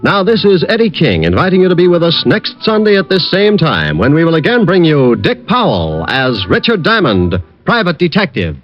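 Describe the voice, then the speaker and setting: Radio announcer guy, big swoopy pitch, muffled old broadcast with music. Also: huge pitch swings, normal speed, hardly any pauses. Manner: formal, polished announcing.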